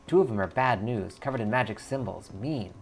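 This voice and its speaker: A man's voice: lowish voice, lively intonation, a little fast, creaky, slight background noise. A man voicing a careworn, wary woman in her thirties in a game, speaking in short plain sentences. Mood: wary, informative.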